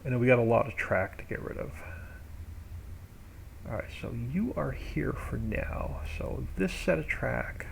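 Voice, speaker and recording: A man's voice: mid-range voice, measured pace, vocal fry, a little hiss or hum, rough recording. A man live-streaming, casually thinking aloud as he works through a game map build. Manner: thinks aloud while working, relaxed and rambling.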